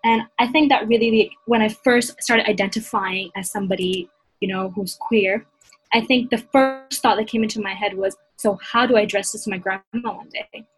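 A woman's voice: mid-range voice, fairly even intonation, normal speed, soft background music, poor audio. A young woman from Hong Kong talking earnestly and reflectively in a casual discussion, with frequent fillers. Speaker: panel guest.

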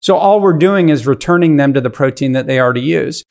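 A man's voice: on the high side, quick pace, vocal fry, darkish tone. A man in his fifties, a podcast guest explaining his business in casual interview talk. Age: 50s.

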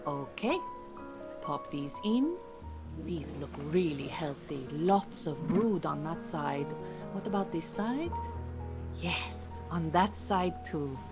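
A woman playing a firm, measured beekeeping teacher in an audio drama, giving instructions. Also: sits low, expressive, music in the background, exaggerated voice. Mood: quietly pleased.

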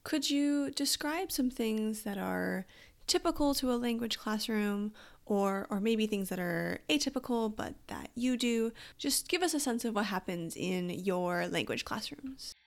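A woman's voice: a little high, lively intonation, unhurried, gravelly. A woman hosting a podcast, reading her prepared introduction and questions evenly. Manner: reads prepared lines evenly. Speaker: podcast host.

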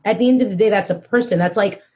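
A woman hosting a podcast, speaking earnestly and slowing down to stress her points. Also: mid-range voice, a little mellow. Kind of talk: casual podcast discussion.

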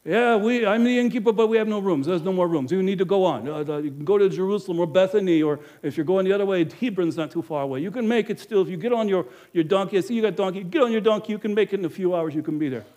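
A man playing a businesslike village innkeeper in an animated first-person monologue, emphatic and speaking straight to the audience. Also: high voice, fast, hardly any pauses, natural voice. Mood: haggling. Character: businesslike male village innkeeper, proud then guilty.

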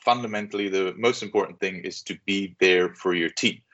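Interview guest, young man, deep register, casual halting answers, slightly muffled audio. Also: a bit bright. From Switzerland and Southeast Asia. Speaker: podcast guest, business leader. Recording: dull, muffled lo-fi sound.

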